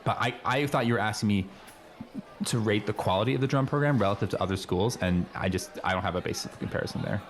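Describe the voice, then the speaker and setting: A man playing an earnest, know-it-all high school boy in an improvised comedy radio play, rambling casually with lots of slang. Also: quick pace, some chatter behind, natural voice. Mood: earnest, informative. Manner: rambling, hesitant, peppered with "like" and slang.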